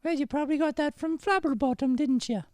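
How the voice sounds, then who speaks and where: A man's voice: very high-pitched, quick pace, a little mellow, dry recording, studio quality. A man voicing a fussy, secretive young woman with an Irish accent in an improvised radio-style comedy. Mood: dry, probing. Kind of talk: improvised old-time radio comedy acting.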